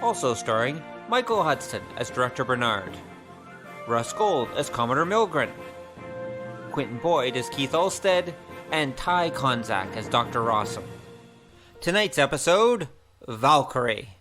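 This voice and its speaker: Guy doing a formal radio drama intro, music playing clearly behind him. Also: mid-range voice, big pitch swings, unhurried. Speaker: announcer. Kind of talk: scripted radio drama announcing.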